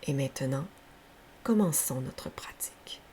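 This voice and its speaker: A woman leading a guided yoga nidra relaxation as a teacher, speaking softly and breathily in gentle, unhurried, soothing instructions. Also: extremely low pitch, in a whisper. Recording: faint steady background hiss.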